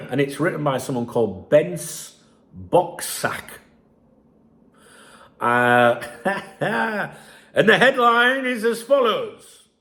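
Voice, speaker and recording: Man, croaky chest infection voice, sarcastic, sweary, casual channel host. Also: on the high side, animated, drawn-out words, pauses often, sharp tone. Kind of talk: casual commentary on a news article.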